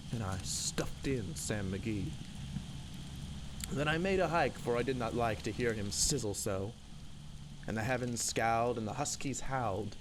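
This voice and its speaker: A man giving a theatrical, rhythmic, emphatic recitation of a narrative poem. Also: mid-range voice. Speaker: poem reader. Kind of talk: dramatic narrative poetry recitation. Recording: loud background noise and ambience.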